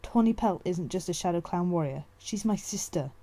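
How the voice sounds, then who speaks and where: A woman's voice: on the low side, animated, moderate pace, mellow. A woman voicing a stern young male warrior cat in an audiobook, firm and impatient.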